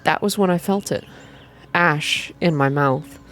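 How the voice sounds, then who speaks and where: Female narrator, lowish register, soft ASMR storytelling, measured and atmospheric, light music underneath. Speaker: reader of a video game story.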